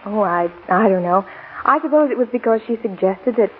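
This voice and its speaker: An actress playing a gentle, hesitant young woman in a 1940s radio drama, polite in manner. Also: mid-range voice, expressive, a little fast, husky. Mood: shy, hesitant. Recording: noisy, tinny 1940s radio recording.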